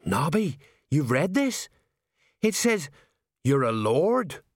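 Guy doing a high fussy gossipy sergeant, kinda slow. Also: sing-song, no room sound, studio quality, heavily acted. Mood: fussing. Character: plodding middle-aged male sergeant, slow and fussing.

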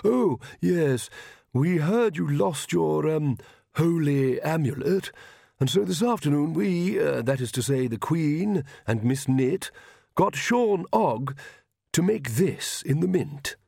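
Man doing an awkward, halting, well-meaning king. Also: a little high, expressive, vocal fry, dark tone, dry recording, studio quality, voice pushed up, exaggerated voice. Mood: awkward, formal. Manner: halting, diffident, formal and courteous. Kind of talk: audiobook character dialogue.

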